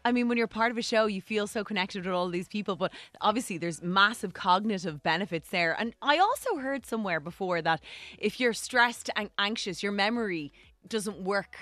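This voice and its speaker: A woman's voice: medium pitch, lively intonation, normal speed, fairly bright tone, no room sound. An Irish woman hosting a chatty broadcast interview in an effusive, affirming way. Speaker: radio show host and interviewer.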